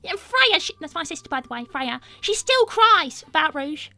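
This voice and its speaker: A woman's voice: sits high, sing-song, normal speed, sharp tone, dry recording, faint music behind, heavily acted. A woman voicing a brash, gossipy young cartoon animal girl with a British accent, cheeky and exclamatory in improvised chat. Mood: gossipy, awed. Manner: cheeky, exclamatory, swearing mildly. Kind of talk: improvised in-character livestream chat.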